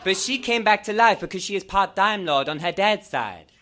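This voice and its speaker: A man's voice: sits high, animated, normal speed, background noise. A man performing a comedic reading as a melodramatic, gushing nineteen-year-old fangirl telling her own story with exclaiming emphasis.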